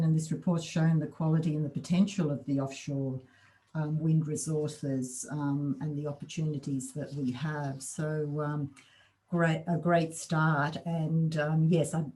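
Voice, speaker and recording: A woman's voice: low voice, warm tone. A woman moderating a webinar, introducing the next speaker hesitantly with many fillers.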